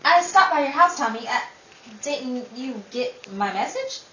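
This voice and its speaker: Woman acting a bright, flirtatious former cheerleader. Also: sits high, very animated, unhurried, full character voice. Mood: coy. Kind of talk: old-time radio comedy-drama acting. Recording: dull, echoey, distant, faintly noisy.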